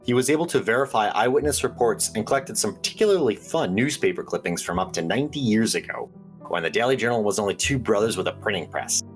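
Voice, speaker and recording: An actor playing an earnest small-town radio host who speaks in a conspiratorial, hesitant way with dry asides. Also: male voice, on the low side, lively intonation, quick pace, soft background music, natural voice. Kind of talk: audio drama radio call-in hosting.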